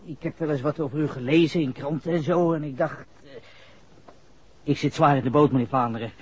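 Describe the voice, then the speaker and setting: A man's voice: on the high side, a little fast, close to an everyday voice. An actor playing a nervous, shifty young man under police suspicion, stammering slangy lines in a 1960s radio drama. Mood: anxious, pleading. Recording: muffled vintage radio recording.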